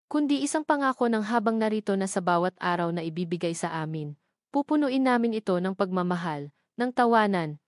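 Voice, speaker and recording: A woman's voice: mid-range voice, no room sound, clean studio sound. A woman reading a scripted first-person love story as a dramatic narrator. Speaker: narrator of a romance audio drama.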